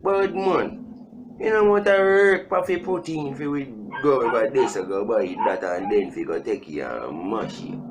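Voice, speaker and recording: A young man with a Jamaican accent chatting casually as a video host, animated and joking, repeating himself for emphasis. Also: medium pitch, moderate pace, heavy background noise. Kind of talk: casual storytime chat. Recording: music playing behind the voice.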